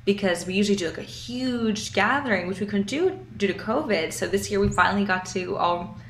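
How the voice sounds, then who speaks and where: A young woman with a light New York accent speaks at a middling pitch, upbeat and eager as she talks about her songwriting. Her voice rings around a noticeably echoey room, with faint background noise underneath. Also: brisk. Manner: upbeat, enthusiastic, repeats words as she starts. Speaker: singer-songwriter guest. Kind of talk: casual interview answers.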